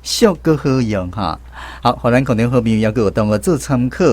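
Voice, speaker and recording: A man's voice: medium pitch, lively intonation, brisk, a little mellow. A man with a Taiwanese accent hosting a language quiz on the radio, guiding the discussion. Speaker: host of a language-teaching radio segment. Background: music bed.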